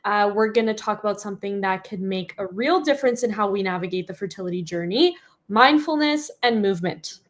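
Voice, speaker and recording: A woman's voice: mid-range voice, lively intonation, brisk. A woman hosting a live talk show, introducing her guest in an enthusiastic, effusive way. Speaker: host.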